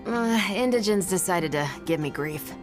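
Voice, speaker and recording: A woman's voice: lowish voice, lively intonation, moderate pace, close to an everyday voice. A woman playing a confident, blunt young female test pilot in a video game cutscene, with a casual, matter-of-fact delivery. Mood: nonchalant. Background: music.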